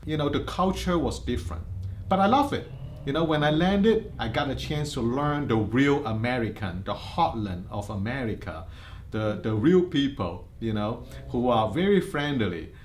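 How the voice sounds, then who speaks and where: Middle-aged man, Hong Kong accent, interview subject, earnest, halting, filler-heavy, mid pitch, faint hiss behind. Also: expressive. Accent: Hong Kong Cantonese. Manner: earnest, many fillers and restarts. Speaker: insurance agency head. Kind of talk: interview life story.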